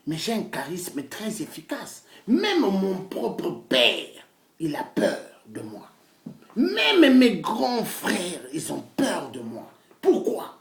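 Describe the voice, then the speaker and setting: A man speaks as a preacher-activist in a fiery, declamatory monologue. His pitch swings widely as he boasts and hammers phrases by repeating them. The sound is echoey, as in a bare room. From Congo-Brazzaville. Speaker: self-styled pastor and political activist. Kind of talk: impassioned political monologue.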